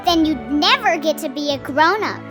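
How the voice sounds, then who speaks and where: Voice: high voice, lively intonation, moderate pace, music in the background. A performer voicing a young boy flying squirrel bully with a taunting manner in a children's audio drama. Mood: mocking.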